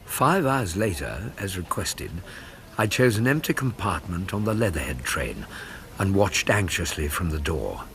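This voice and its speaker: An actor playing a loyal, observant Victorian gentleman who narrates a radio drama in an English accent, with a measured, literary storytelling manner. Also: sits low, big pitch swings, heavily acted. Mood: wry, vivid.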